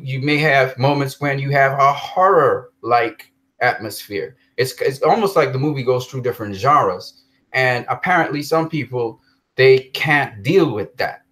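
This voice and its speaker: A man hosting a casual chat about films, speaking with enthusiastic emphasis. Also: mid-range voice.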